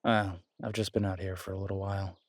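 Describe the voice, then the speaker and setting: A man playing an affectionate, easygoing werewolf boyfriend who tinkers with bikes, speaking casually and haltingly in an intimate roleplay audio drama. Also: low-pitched, level tone, dry recording, studio quality.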